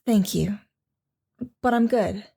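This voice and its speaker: A woman playing a composed, gentle young mother as a radio guest, telling her story conversationally in an audio drama. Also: medium pitch, takes it slowly, vocal fry, slightly rough, exaggerated voice. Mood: calm, polite.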